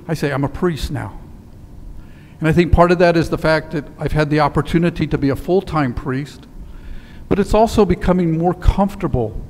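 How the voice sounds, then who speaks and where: A man in his sixties preaching a sermon, telling a story and dropping to a quiet, slow emphasis on key words, standing in a church sanctuary on a headset mic. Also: on the high side. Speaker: priest. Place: church sanctuary in front of altar. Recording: long church reverb with faint ambience.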